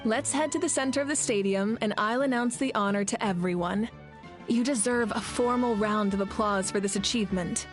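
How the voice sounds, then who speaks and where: A woman voicing a confident, warm female fire archon and war leader in a video game, assured and stately. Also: medium pitch, fairly quick, soft background music, natural voice.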